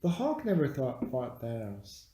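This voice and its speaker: A man's voice: medium pitch, huge pitch swings, a little slow, dark tone, reverberant. A man chatting casually and bluntly as co-host of an unscripted movie review, with some swearing.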